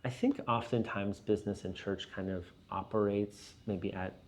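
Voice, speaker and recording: Male, middle-aged, lower register. Interviewed leader, reflective and conversational, halting as he explains point by point. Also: fairly even intonation, slight background noise. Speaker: business and church leader being interviewed. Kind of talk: casual reflective interview talk.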